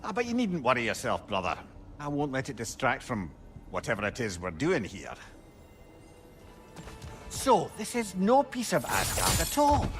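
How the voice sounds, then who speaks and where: A very animated Scottish old sage. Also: male voice, medium pitch, moderate pace, pauses often, soft background music. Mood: wry, evasive. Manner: chatty, wry, expressive storyteller's phrasing. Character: wry, clever, encouraging old male sage companion. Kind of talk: dramatic video game acting.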